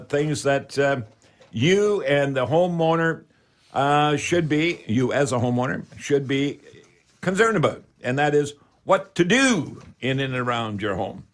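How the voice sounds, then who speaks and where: A man's voice: medium pitch, expressive, unhurried. An older man in his seventies with a Canadian accent hosts a live radio show in a casual, rambling, chatty, folksy way.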